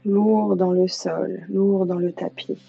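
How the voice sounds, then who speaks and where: A woman leading a guided yoga nidra meditation as an instructor, calm and soothing, leaving long pauses. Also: on the low side, gravelly. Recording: slightly dull lo-fi sound, music behind. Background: music.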